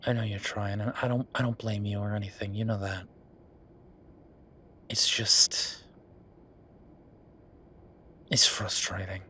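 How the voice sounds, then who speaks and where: A man's voice: fairly low pitch, fairly even intonation, slow, pauses often, pushed and bright. A man playing a frustrated, world-weary werewolf official talking intimately with his partner in an audio roleplay, in a conversational, sighing way. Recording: clear background noise.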